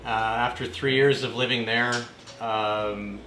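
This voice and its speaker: A man in his forties from Canada, telling stories in a relaxed conversational way with plenty of fillers, as an interview guest in an apartment. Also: mid-range voice, slow, roomy sound, slight background noise, low-quality recording. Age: 40s. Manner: relaxed storytelling with frequent fillers. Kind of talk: casual conversation. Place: apartment room.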